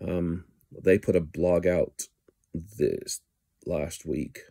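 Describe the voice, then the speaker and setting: Middle-aged man, very deep with a gravelly edge, halting um-filled commentary into a close phone. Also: steady tone, mellow, no room sound. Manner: halting, frequent ums and restarts. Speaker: news blogger. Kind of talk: casual video commentary. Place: indoors at home, small room. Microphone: phone camera held close.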